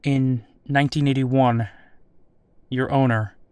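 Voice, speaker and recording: Audio drama captain, male actor: patient, polite interviewer, conversational delivery, medium pitch, dry studio sound. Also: steady tone, takes it slowly, darkish tone, smooth, dry recording, lightly acted. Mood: probing, patient.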